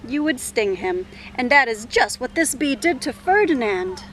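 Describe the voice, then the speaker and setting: A woman reading a picture book aloud to children in an expressive storytelling style, with gentle dramatic pauses. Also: high voice, slight background noise. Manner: gentle dramatic pauses, asks listeners questions.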